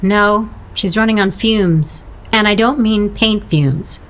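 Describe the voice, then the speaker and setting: A wry woman played by an actress trades quips in an audio drama. Her delivery is dry and deadpan, in a voice of ordinary height for a woman. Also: medium pitch, slow pace, background noise, lightly acted. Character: wry, bantering woman friend of a blocked painter.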